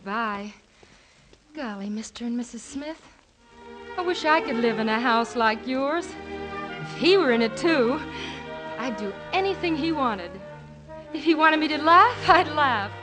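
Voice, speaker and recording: Wistful young woman, slightly high voice. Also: lively intonation, unhurried, long pauses. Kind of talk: 1950s radio acting. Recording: vintage 1950s radio broadcast. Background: orchestral music.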